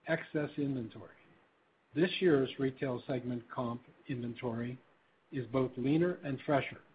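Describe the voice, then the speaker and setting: Over a narrow, tinny phone connection with a little background noise, a man speaks as a company executive. He reads prepared remarks in a formal, measured business manner, in a medium-pitched voice. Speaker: company executive on an earnings conference call. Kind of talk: scripted prepared remarks, read aloud. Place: conference call line. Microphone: telephone. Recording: tinny phone-line conference call with faint noise.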